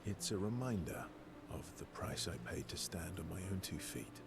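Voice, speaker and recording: A man's voice: sits low, lively intonation, moderate pace, creaky. A man playing a wry, guarded man with a scarred past in naturalistic video game dialogue, understated and dryly teasing. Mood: wry, confiding. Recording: prominent game music behind dialogue.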